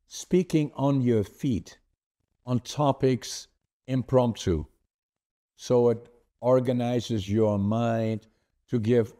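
A man's voice: mid-range voice, mellow. An older man from the Netherlands with a Dutch accent, English not his first language, telling his life story earnestly as a podcast host over a video call. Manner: earnest, deliberate, gestures with his hands. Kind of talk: conversational storytelling. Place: home office with framed certificates.

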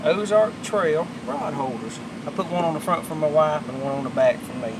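A middle-aged man with a drawl and a slightly raised pitch walks through his backyard project in a loose, self-correcting ramble. He is captured on a camera mic in the open air, with heavy background noise running under his voice. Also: normal speed. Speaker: vlogger showing his boat upgrades. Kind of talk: casual show-and-tell. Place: backyard outdoors. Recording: outdoor camera audio with loud background noise.

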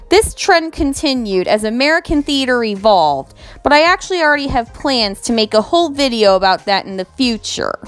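Lively, higher-pitched woman narrating a lesson. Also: clean tone. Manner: reads from a script, measured and explanatory, with asides to viewers. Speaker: educational video host. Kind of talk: scripted narration over slides. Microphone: voice-over.

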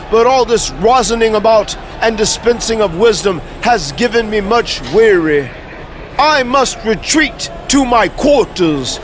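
An eccentric video game wrestler who talks like a lofty mystic. The voice is very high for a man, slow and pompous, with faint noise behind. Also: full character voice. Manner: pompous, archaic, drawn-out grand delivery.

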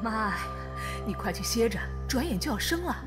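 A woman acting as a fretful family woman in an audio drama. Her high voice is emotive, with music clearly playing behind. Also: sing-song, moderate pace. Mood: anxious, emotional.

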